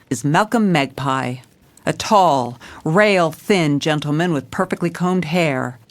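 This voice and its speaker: A woman's voice: on the low side, lightly acted. A woman playing a jaded, hard-boiled police commissioner, narrating a noir audio drama in a dry, world-weary, stern way.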